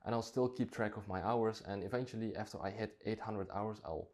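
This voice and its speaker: A man's voice: lowish voice, fairly quick, darkish tone. A Dutch-native man talking casually to camera, reflective and thinking aloud with frequent restarts. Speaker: vlogger.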